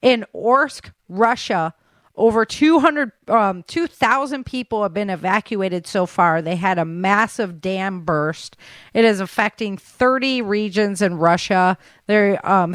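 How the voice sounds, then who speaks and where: A woman's voice: mid-range voice, no room sound. Casual chatty woman hosting, dry close sound. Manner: chatty, informal, addresses listeners as guys. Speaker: podcast host. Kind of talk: casual news rundown and chat.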